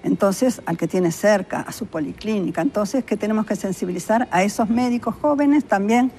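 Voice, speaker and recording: A woman's voice: mid-range voice, moderate pace, mellow, slight background noise. A woman with a Uruguayan accent explaining things conversationally as an expert guest in an interview. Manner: conversational, illustrates points with imagined quotes. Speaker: public health official and microbiologist, interview guest. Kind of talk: spontaneous explanatory interview talk.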